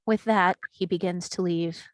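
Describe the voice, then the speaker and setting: A woman's voice: fairly low pitch, steady tone, normal speed, no room sound. A woman reading scripted screenplay action and scene headings as a narrator at a table read. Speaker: stage directions reader.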